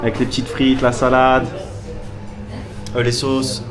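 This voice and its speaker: A man's voice: medium pitch, monotone, unhurried, over music. A man chatting casually to the camera in a travel vlog, enthusiastic and full of fillers, at a busy local eatery. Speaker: travel vlogger. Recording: street crowd noise behind.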